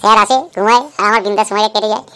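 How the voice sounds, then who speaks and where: A woman playing a carefree, lazy young pupil in a comedy cartoon, gabbling with excitable glee. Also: medium pitch, racing, lightly acted. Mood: carefree, chatty.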